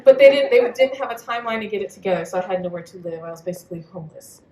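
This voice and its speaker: A woman's voice: medium pitch, lively intonation, racing, dark tone, recorded from a distance. A woman on a live panel tells personal stories bluntly, swearing freely. She sounds set back from the mic in an echoey hall, with faint background noise. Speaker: panelist poet. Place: venue hall at a live reading.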